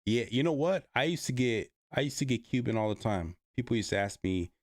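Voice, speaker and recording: A man's voice: mid-range voice, animated, brisk, no echo, studio quality. A man from the Bay Area, hosting a casual livestream, reading chat and rambling with many fillers and restarts. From Bay Area, California.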